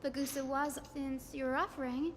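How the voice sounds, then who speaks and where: A woman voicing a gentle, earnest young healer in a video game, politely and softly spoken, with music behind her. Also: high voice, level tone, moderate pace, lightly acted. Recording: background music.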